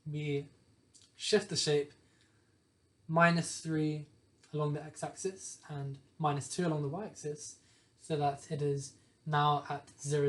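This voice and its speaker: A young man with a hoarse voice from a lingering cough, methodically explaining code step by step and often correcting himself. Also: on the high side. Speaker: programming tutor. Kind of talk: screen-share coding walkthrough.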